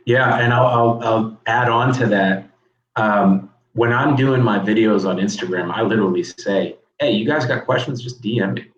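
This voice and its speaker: Frank male guest coach, lower voice, echoey, lo-fi video call. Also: normal speed. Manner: frank, conversational, repeats words as he talks. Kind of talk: casual interview chat.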